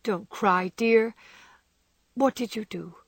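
Brief: a woman reading a shy, frail young girl, breathy and hushed, close and dry. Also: on the high side, takes it slowly, dry recording, pitched above the performer's own voice, full character voice. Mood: sad. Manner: soft, often whispering. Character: gentle frail young girl, timid and loving.

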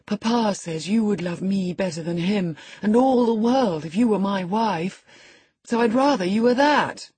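A woman's voice: mid-range voice, a little slow, a little mellow, breathy edge, dry recording, pitched above the performer's own voice. A woman voicing a peevish, sickly teenage boy in an audiobook story, whining and self-pitying. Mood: hopeful. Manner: whiny, taunting, self-pitying.

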